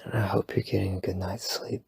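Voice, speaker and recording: A man whispering softly at night in a rambling, playful, self-deprecating sleep-aid roleplay. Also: low-pitched, fairly even intonation, a little fast, no room sound. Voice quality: breathy whisper. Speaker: ASMR boyfriend roleplay creator. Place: home at night.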